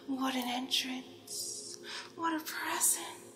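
A woman voicing a flirtatious, doting female devil in a whispered ASMR roleplay, slow and deliberate, playfully commanding. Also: sits high, reverberant, over loud music. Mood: admiring, seductive. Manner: slow, deliberate whispering with lingering repeated phrases. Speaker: ASMR performer.

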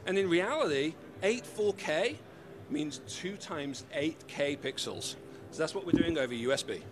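Upbeat British man pitching tech at a booth. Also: expressive, unhurried, noisy background. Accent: mixed British. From United Kingdom. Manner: upbeat, salesy, gesturing while explaining. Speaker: company representative. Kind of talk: trade-show interview. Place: busy convention hall booth. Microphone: handheld mic held by interviewer.

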